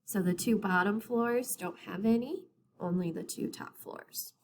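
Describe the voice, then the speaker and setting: A woman reading a picture book aloud as a teacher to children, in a deliberate, expressive storytelling manner. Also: mid-range voice, a little hiss or hum.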